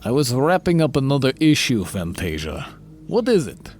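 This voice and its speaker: A man's voice: medium pitch, sing-song, darkish tone, faint music behind, natural voice. A man playing a stern, commanding super-soldier team leader who threatens coldly. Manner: cold, slow, threatening.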